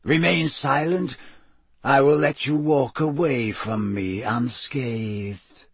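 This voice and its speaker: Man voicing a slow, hoarse female sphinx. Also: mid-range voice, dry recording. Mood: calm menace. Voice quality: hoarse, breathy. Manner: slow, deliberate, theatrical recitation. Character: enigmatic female sphinx, riddling and calmly menacing.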